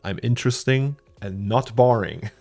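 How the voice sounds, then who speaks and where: A man's voice: medium pitch, moderate pace, vocal fry, darkish tone, soft background music. A man with a German accent streaming his 3D work, chatting casually, thinking aloud and chuckling often. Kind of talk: casual livestream chat while working.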